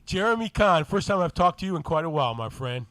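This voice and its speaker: A man's voice: on the high side, sing-song, fast, a bit bright, no room sound. A man co-hosting a sports radio show in a casual, conversational style. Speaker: radio co-host.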